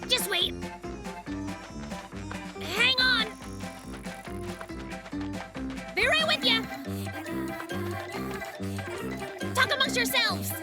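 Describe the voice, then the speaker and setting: High-pitched, cocky little cartoon bear cub. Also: exaggerated voice. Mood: eager, reassuring. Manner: showy, boastful, theatrical announcer-like delivery. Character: cute, cocky, excitable young polar bear cub. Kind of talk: children's cartoon voice acting. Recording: music behind the voice.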